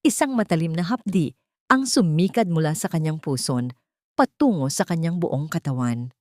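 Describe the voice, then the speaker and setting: A Filipino woman tells a scripted dramatic tale. Her voice sits on the low side. The recording is dry, with very little room sound. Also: lively intonation, normal speed, darkish tone, no echo. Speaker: story narrator. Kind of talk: dramatic scripted storytelling narration.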